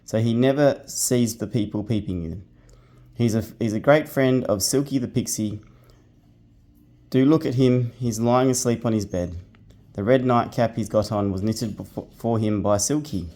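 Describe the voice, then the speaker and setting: A man voicing a brisk, bossy young boy in a storybook reading, speaking matter-of-factly. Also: medium pitch, warm tone, lightly acted.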